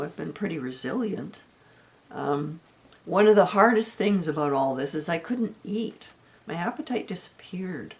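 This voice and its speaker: A woman in her seventies giving a chatty, rambling health update from home, her voice going hoarse. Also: deep voice. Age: 70s. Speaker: vlogger. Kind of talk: casual personal health update.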